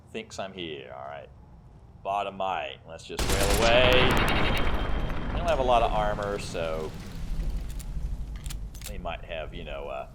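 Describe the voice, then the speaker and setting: A man's voice: deep voice, noisy background. A man giving casual video game commentary, thinking aloud and explaining as he plays. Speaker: gamer playing and commentating.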